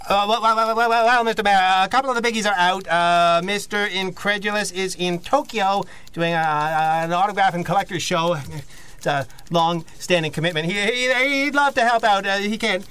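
A flustered, nervous male aide in a comic audio play, acted by a man. His high, smooth voice stumbles and repeats itself as he hedges every answer. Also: steady tone, normal speed, pushed and bright. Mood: flustered, apologetic. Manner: stammering, hedging, nervous repetition. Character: flustered male mayoral aide breaking bad news. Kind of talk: comedic audio drama dialogue.

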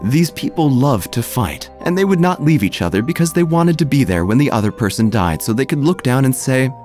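A man's voice: mid-range voice, very animated, brisk, darkish tone, close to an everyday voice. A man playing a droll, gossipy small-town church narrator, telling a scripted comic story with wry, theatrical phrasing. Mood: droll, confiding. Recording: music under the voice.

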